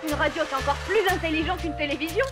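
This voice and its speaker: Woman as a high, conceited talking radio. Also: fast, natural voice. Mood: defiant. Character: talking household radio, conceited, argumentative rival leader. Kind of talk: French children's cartoon voice acting. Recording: loud music behind the voice.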